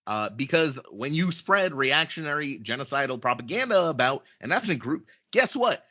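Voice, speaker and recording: Blunt heated man, bright lo-fi sound. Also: medium pitch, sing-song, normal speed. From Ukraine. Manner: blunt and heated, frequent swearing and filler words. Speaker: podcast guest, journalist. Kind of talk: casual podcast conversation.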